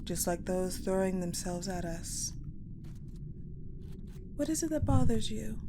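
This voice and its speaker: A woman playing a sadistic, ice-powered female general in an audio roleplay, coldly menacing and controlling, deliberate and taunting, often dropping to a whisper. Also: mid-range voice, heavy background noise. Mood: taunting interrogation. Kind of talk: dramatic audio roleplay acting.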